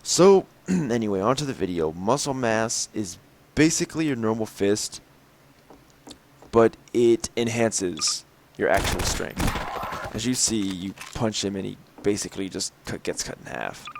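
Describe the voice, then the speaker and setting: A young man with a slightly raspy, tired voice, showing off a game mod in rambling commentary full of fillers and throat clearing. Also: lowish voice, lively intonation, a little slow, noisy background. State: slightly raspy from lack of sleep. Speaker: gameplay video host. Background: game gunfire and clicks.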